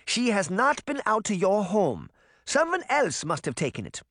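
A man's voice: sits high, wildly swooping pitch, moderate pace, fairly bright tone, no echo, clean studio sound, voice pushed up, exaggerated voice. A man voicing a firm, protective old grandmother in a storybook, speaking in an insistent, plain way. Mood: firm, indignant.

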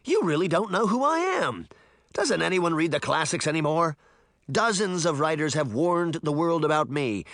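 A man's voice: medium pitch, big pitch swings, unhurried, creaky, pushed and bright, no echo, clean studio sound, heavily acted. A man voicing a boastful, indignant fairy trickster boy in a theatrical, crowing way for an audiobook. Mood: indignant, roaring. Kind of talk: audiobook character voice.

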